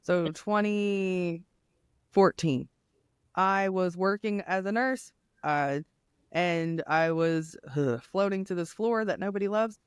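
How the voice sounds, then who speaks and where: A middle-aged woman with a deep voice, a nurse and coach speaking candidly as an interview guest. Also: slow, smooth, no echo, studio quality. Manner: candid, animated storyteller with laughs. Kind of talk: casual interview conversation.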